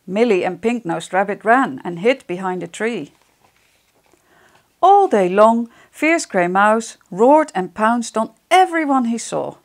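A middle-aged woman reading a picture book to young children, expressive and deliberate, addressing them directly. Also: fairly high pitch. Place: small wood-panelled room indoors. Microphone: lapel microphone.

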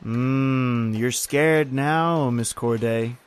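A man acts out a grim overseer in an old-time radio horror tale. His speech is folksy and bitter, with a taunting edge, and sits at an ordinary male pitch. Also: drawn-out words, smooth. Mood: mocking. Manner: folksy, bitter, uses "aye" and "ain't". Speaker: actor in audio horror drama.

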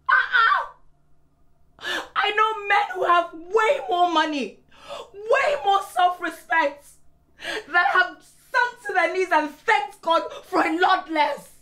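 High-voiced, bright, exasperated wife. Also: female voice, exaggerated voice. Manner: emphatic, pointed, repeats words for force. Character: hurt, exasperated wife confronting her proud husband. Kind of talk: naturalistic screen drama acting.

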